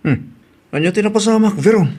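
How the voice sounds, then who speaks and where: A man's voice: high voice, lively intonation, fairly quick, dark tone. A man playing an older, suspicious family man who scolds and exclaims with an Ilocano accent in a radio comedy drama. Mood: concerned, practical.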